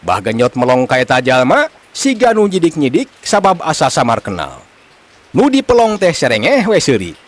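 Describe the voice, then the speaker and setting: A man with a Sundanese accent telling a comic folk tale in an animated storytelling style. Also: on the high side, moderate pace.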